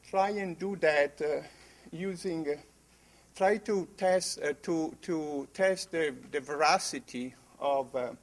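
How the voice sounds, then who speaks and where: A man with an Italian accent giving an academic conference presentation, halting often with fillers and restarts. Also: a little high. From Italy.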